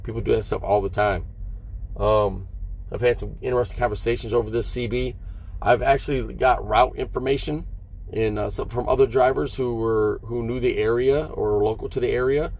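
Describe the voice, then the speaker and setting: A man's voice: medium pitch, steady tone, moderate pace, a little mellow. A middle-aged American man, a truck driver, talking casually to his vlog viewers in a folksy, rambling, conversational way.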